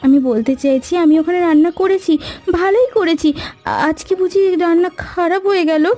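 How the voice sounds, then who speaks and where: A meek young bride from a village cartoon, voiced by a woman, who still defends herself. Her voice is very high and mellow, polite and plaintive, over light music. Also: a little fast. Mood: hurt, defending. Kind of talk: animated cartoon story dialogue.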